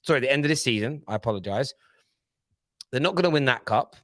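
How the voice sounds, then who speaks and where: Bloke presenting a footy panel show, keeps hold of the floor, normal pitch, dry studio mic. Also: male voice, lively intonation, quick pace, fairly bright tone, no room sound. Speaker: host of a football panel show. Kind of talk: casual football debate and presenting.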